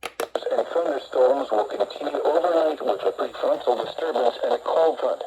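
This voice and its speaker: Robot-ish guy reading weather forecast off a radio speaker, gravelly, bit of noise. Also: a little high, very animated, moderate pace. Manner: formal, synthetic delivery. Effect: played through a small radio speaker. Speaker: automated weather radio announcer. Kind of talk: scripted weather forecast readout. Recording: noisy weather radio playback.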